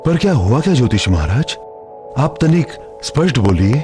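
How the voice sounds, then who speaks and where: A man's voice: medium pitch, very animated, moderate pace, close to an everyday voice. A man voicing a kindly, middle-aged village chief and protective father in a cartoon mythological drama, earnest and formal. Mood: indignant. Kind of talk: animated mythological drama dub. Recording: background music under dialogue.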